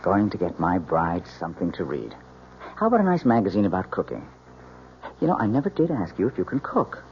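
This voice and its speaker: An actor playing a breezy, affectionate young newlywed husband in an old-time radio mystery, teasing and casual with a slight stammer. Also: male voice, low-pitched, huge pitch swings, fairly quick, full character voice. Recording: dull old radio recording, faint hiss.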